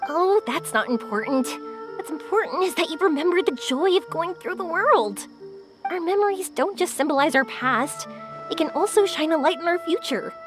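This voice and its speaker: A woman's voice: very high-pitched, lively intonation, moderate pace, music in the background. A woman playing an upbeat, encouraging, kind young woman with an adventurous streak in a video game. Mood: gentle, encouraging. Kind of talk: video game character acting.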